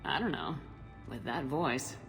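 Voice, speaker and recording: A woman's voice: very deep voice, animated, unhurried, creaky, heavily acted. A woman playing a tough, wry military commander in a video game, speaking in a dry, direct way. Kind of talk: video game cutscene acting. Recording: long echoing hall, music behind.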